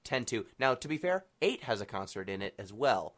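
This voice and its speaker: A man's voice: on the low side, big pitch swings, brisk, a bit bright. A man chatting casually about video games in an emphatic, playful, bantering way. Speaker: podcast guest, gaming marathon runner.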